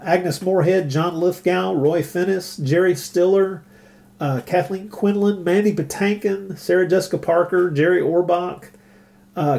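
Slightly high-voiced man, chatty. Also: a little mellow. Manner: enthusiastic, chatty. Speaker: podcast commentator. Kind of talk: casual conversational commentary.

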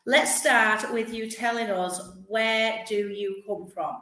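A woman hosting a podcast interview, talking in an upbeat, brisk, no-nonsense way. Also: fairly high pitch, steady tone, sharp tone. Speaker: podcast host. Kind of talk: casual interview chat. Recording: echoey room.

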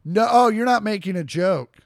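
Man, podcast co-host, slightly high voice, dry close sound, crude teasing banter. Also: lively intonation, moderate pace, vocal fry, no room sound. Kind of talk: casual comedic chat.

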